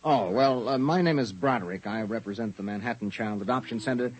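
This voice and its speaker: An actor playing a tough, brisk city private detective in a 1950s radio drama, with clipped colloquial speech. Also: male voice, mid-range voice, breathy edge, natural voice. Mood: polite, hesitant. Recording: muffled, lo-fi old radio recording.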